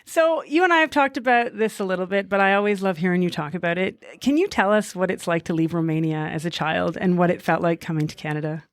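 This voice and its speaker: A woman's voice: on the low side, quick pace, hardly any pauses, no echo. A woman hosting a podcast, asking friendly open questions in a casual chat. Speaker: podcast host.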